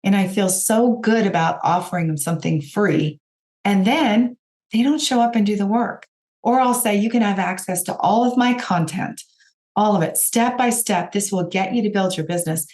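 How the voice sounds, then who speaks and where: A woman with a raspy voice, hoarse from a cold, hosting a podcast and giving direct, encouraging coaching talk to her listeners. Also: mid-range voice, moderate pace, darkish tone. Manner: encouraging, direct, addresses listeners as you guys. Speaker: podcast host and business coach.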